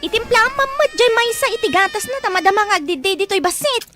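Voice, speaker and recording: Woman as a very high-pitched, pleading Ilocano wife. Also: animated, quick pace, music in the background. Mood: fretful. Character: worried, pleading young wife and mother of babies. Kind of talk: Ilocano audio drama acting.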